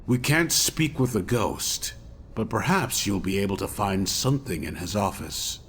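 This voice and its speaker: Low, expressive investigator mentor. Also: male voice, moderate pace, a little hiss or hum. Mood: serious, explanatory. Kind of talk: scripted game cutscene dialogue.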